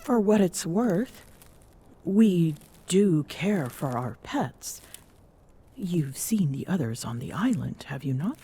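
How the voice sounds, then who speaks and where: A woman playing a regal, smitten Amazonian queen in an intimate ASMR romance roleplay, speaking formally and softly with coy pauses, often near a whisper. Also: on the low side, sing-song, husky, full character voice. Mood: earnest, murmuring. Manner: formal, haughty diction with coy hesitations and murmured endearments.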